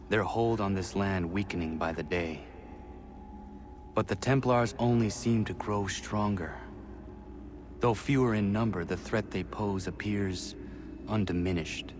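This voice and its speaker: An actor playing a determined young warrior assassin in a video game, narrating in a serious, formal, measured way. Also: male voice, low-pitched, background noise.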